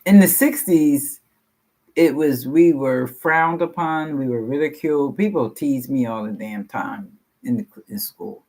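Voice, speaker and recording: An older woman in her sixties speaks with a deep, low voice that has a husky grain. She rambles frankly through a personal share at a support meeting and swears casually as she goes. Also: unhurried, warm tone. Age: 60s. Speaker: meeting participant sharing.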